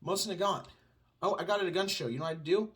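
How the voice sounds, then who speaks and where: A man's voice: high voice, racing, a bit bright. Sarcastic ranting man with a Southern twang. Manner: sarcastic, ranting, answers his own mock questions. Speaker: podcast co-host. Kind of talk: casual opinionated chat. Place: home.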